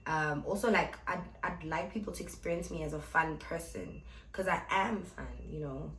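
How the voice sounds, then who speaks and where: A woman's voice: low voice, normal speed, heavy background noise. Chatty young woman in an echoey room. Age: 20s. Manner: chatty, blunt and playful, addressing viewers as guys. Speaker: vlogger and club host. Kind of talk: casual Q&A vlog to camera.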